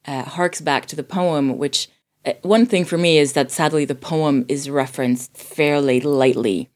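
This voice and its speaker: A woman from Sweden, a native Swedish speaker, casually discussing a film in a thoughtful way, often pausing to rephrase. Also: deep voice, darkish tone. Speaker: podcast guest reviewing a film.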